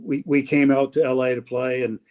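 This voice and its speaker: Radio play-by-play guy telling old stories on a video call, regular pitch. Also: level tone, brisk. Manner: anecdotal, warm reminiscing with restarts. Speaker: guest, radio play-by-play announcer. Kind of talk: casual storytelling.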